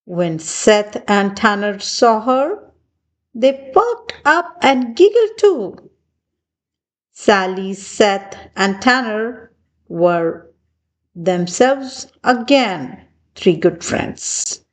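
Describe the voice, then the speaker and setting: A woman reads a children's storybook aloud at a very slow pace. Her pitch is lively and swoops expressively, with deliberate phrasing meant for young listeners. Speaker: children's book reader.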